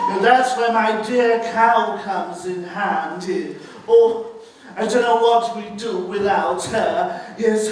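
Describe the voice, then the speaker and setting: A boisterous British pantomime dame, acted by a man, sounds distant in a very echoey stage space. The village woman is middle-aged, motherly and cheeky, with a high voice. She chats in an exaggerated theatrical way, coaxing the audience along. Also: a bit bright. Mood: chatty, cheeky. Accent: British English. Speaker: pantomime performer. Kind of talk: live pantomime monologue with audience address. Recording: roomy echoing stage, distant mic, faint noise.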